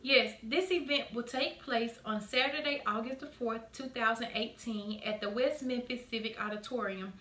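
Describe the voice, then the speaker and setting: A young woman with a slightly high, bright voice presents to camera. The room is echoey and there is some noise behind her. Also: moderate pace, hardly any pauses. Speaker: presenter and cast member promoting a play. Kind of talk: video announcement and playful home skit. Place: indoor home room. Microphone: camera mic a few feet away.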